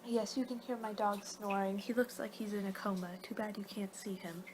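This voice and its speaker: A woman's voice: medium pitch, steady tone, fairly quick. Rambling woman doing whispery ASMR roleplay. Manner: rambling, playful, often losing her train of thought. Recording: clear background noise.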